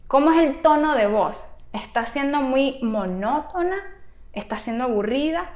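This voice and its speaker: A woman with a high voice explains things to parents as a therapist would in an advice video. She takes her time and speaks with animated emphasis. The room adds noticeable echo around her voice. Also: a little slow. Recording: roomy, echoey room sound.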